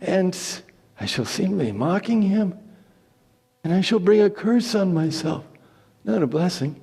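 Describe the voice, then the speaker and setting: Man acting an anxious young son, high-pitched. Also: expressive, measured pace, creaky, dark tone, breathy edge, in a large hall, heavily acted. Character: anxious young smooth-skinned son Jacob.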